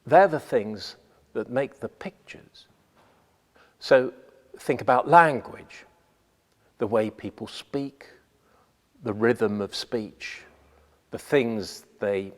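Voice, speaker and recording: A man delivers scripted instruction at a slow, deliberate pace. His pitch moves expressively to stress key phrases, and the sound carries a long reverb, as in a hall. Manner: measured, deliberate, emphatic phrasing. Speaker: radio playwright giving writing advice.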